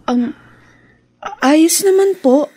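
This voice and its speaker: High-voiced, meek young daughter. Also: female voice, animated, very slow, dark tone, dry recording, exaggerated voice. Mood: apologetic, sorrowful. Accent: Filipino. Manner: hesitant, respectful, tearful pleading. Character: meek, guilt-ridden, devoted young daughter. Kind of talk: Philippine radio drama acting.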